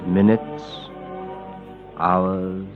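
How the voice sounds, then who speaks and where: An actor playing a condemned captain tormented by dread, speaking in a halting, literary way in the style of old radio horror. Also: male voice, low-pitched, flat delivery, over music. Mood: haunted, brooding. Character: condemned male captain, tormented prisoner narrating his dread. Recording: muffled, noisy old radio recording.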